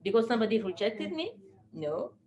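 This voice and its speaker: A woman's voice: fairly high pitch, sing-song, fairly quick, a little mellow. Earnest woman motivational speaker, noisy room. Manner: earnest, repeats phrases for emphasis. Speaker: presenter at a business team training. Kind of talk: motivational talk to a group.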